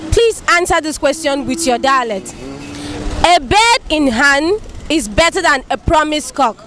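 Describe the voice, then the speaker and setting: High-voiced woman street interviewer. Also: animated, measured pace, a bit bright. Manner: upbeat, repeats thanks often. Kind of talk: street vox-pop interview. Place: outdoors on a busy street. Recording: street crowd noise behind.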